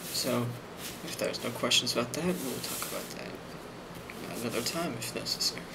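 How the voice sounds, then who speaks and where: A man acting as a friendly office boss in an ASMR role play, with a creaky, mid-pitched voice. He rambles softly and hesitantly, reassuring as he goes. Loud noise runs constantly behind him. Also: normal speed, natural voice. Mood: calm, reassuring. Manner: soft-spoken, hesitant, reassuring.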